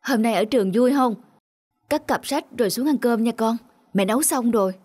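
A woman playing a worried, tender middle-aged mother in a cartoon, speaking gently and caringly. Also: on the high side, moderate pace, over music, natural voice. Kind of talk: cartoon voice acting.

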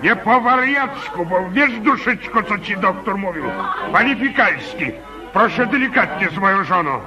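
Fussy indignant husband in a radio play, high voice all over the place. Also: male voice, animated, moderate pace, muffled recording, over music. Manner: fussy, exclamatory. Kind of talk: theatrical radio play acting.

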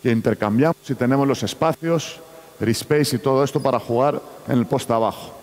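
A man's voice: medium pitch, brisk, a little mellow. A man who is not a native Spanish speaker runs a basketball coaching clinic, giving choppy instructions and calling out to players on court. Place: large indoor basketball arena. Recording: echoey sports hall.

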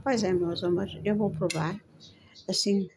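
A woman's voice: mid-range voice, steady tone, measured pace. An older woman with a European Portuguese accent, rambling casually and affectionately to viewers as a home vlogger, recorded on a handheld phone. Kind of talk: casual chat to viewers at the table. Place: home dining room, indoors. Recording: handheld phone, clinking cutlery noise. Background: cutlery and dishes clinking.

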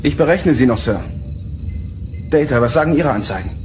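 An actor playing a dutiful starship helm officer in a sci-fi audio drama, reporting in a military manner. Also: male voice, mid-range voice, lively intonation, normal speed, darkish tone, soft background music, lightly acted. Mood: alert. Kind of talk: German audio drama acting.

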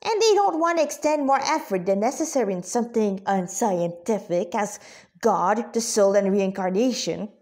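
A woman voicing a brash, excitable female cat spirit in a story, slangy and emphatic. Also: medium pitch, normal speed, lightly acted. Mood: indignant.

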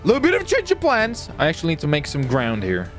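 Man, slightly high voice, casual gameplay host, chatty, excited, repetitive phrasing, light music behind. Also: fast talker. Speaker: gaming video host and player.

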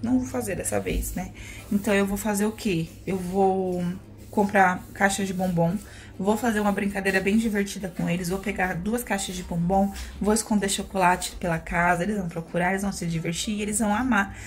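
A woman's voice: mid-range voice, steady tone, brisk, faint music behind. A young woman with a Brazilian accent chatting casually to the camera as a vlogger, addressing viewers with pet names. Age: young adult. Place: small rooms at home, indoors. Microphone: phone at arm's length. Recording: noisy handheld home recording.

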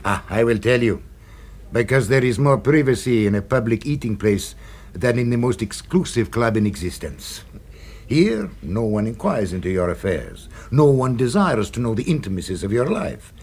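Husky, unctuous restaurateur. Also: male voice, medium pitch, very animated, moderate pace, darkish tone. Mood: suave, secretive. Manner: effusively courteous, ending remarks with "eh?". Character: unctuous, secretive male restaurant proprietor, darkly philosophical. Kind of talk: 1970s radio drama acting.

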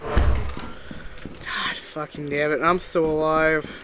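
A man chatting and yelling over voice chat while playing a game with friends, swearing often and shouting in surprise. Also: a little high, level tone, drawn-out words, noisy background. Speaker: gamer in group voice chat. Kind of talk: casual gaming chat.

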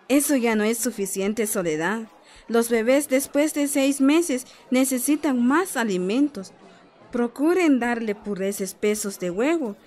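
Woman playing guatemalan village health adviser in a radio drama, friendly n sure of herself, explains patiently, slightly high voice. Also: a little mellow, lightly acted. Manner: instructive, patient, encouraging. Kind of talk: radio soap opera acting.

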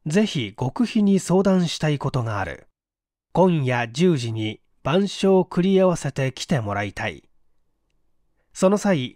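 A man narrating a mystery story as an audiobook, in a measured, literary and dramatic storytelling manner. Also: on the high side, a little mellow, no room sound, studio quality. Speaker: audiobook reader, stage actor.

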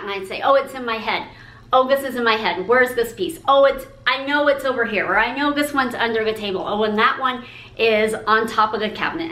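A woman's voice: on the high side, fairly bright tone, roomy sound, low-quality recording. A woman in her forties talking to the camera, upbeat and encouraging as she shares business tips and gestures while she speaks. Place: room with a pink painted brick wall.

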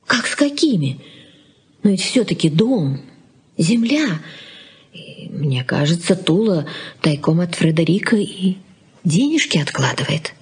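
Husky, conciliatory middle-aged wife. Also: female voice, on the low side, expressive, unhurried, pauses often, exaggerated voice. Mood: confiding. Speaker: actress in a radio play. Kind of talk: Soviet 1980s radio drama acting.

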